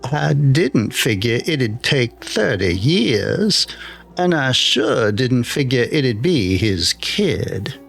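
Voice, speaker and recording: Man voicing a weary, slow-talking old engineer. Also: mid-range voice, sing-song, gravelly, a bit bright, soft background music, heavily acted. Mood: resigned. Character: older retired male broadcast engineer, weary and plainspoken.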